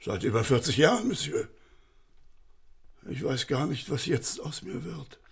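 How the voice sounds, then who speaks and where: A man's voice: on the high side, sing-song, unhurried, creaky, husky, exaggerated voice. An actor playing an old, frightened male servant in a radio play, agitated and stammering as he tells what happened. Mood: anxious. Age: older. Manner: agitated, tumbling nervous speech with stammers. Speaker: servant of a baron.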